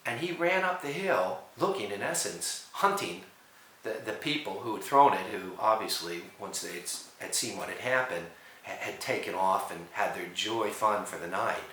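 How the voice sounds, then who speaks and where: A man's voice: lowish voice, animated, moderate pace, pushed and bright, roomy sound, low-quality recording. A man from the USA, telling a personal story and acting out the voices he quotes. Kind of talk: personal story told to camera.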